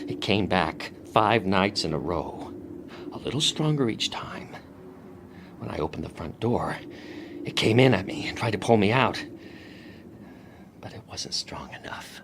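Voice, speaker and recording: Through heavy noise comes a very low male voice, a haunted writer in a radio drama. He speaks slowly and broodingly, halting and sinking into whispers as his obsession with the wind takes hold. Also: lively intonation. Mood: bitter, fearful. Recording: very noisy radio drama recording.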